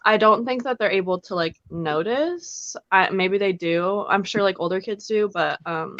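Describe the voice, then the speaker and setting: A woman's voice: normal speed, a bit bright, dry recording, muffled recording. A young woman guest on a video call, a character performer, answering hesitantly with many ums and likes. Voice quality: deep voice. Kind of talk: casual interview chat.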